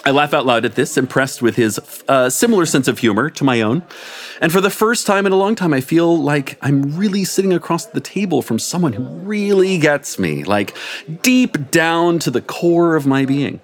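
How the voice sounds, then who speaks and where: A man narrating a comic story before a live audience, playful and amused beneath a straight delivery. His pitch is lively and wide-ranging. He lets the absurd lines land without hurry. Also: moderate pace. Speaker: story reader. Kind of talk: live comic reading of erotic fiction.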